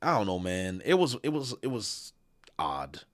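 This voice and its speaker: A man with a low, bright-edged voice that swings widely in pitch as he talks through a review. He sounds laid-back and often trails off into mumbles. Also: big pitch swings, measured pace. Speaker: co-host. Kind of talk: casual review chat.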